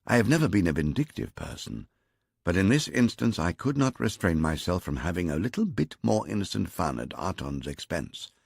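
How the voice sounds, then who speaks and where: A man narrating an audiobook in the first person, measured and wry. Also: sits low, sing-song, gravelly, darkish tone, slightly rough, no room sound, studio quality. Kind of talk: scripted narration.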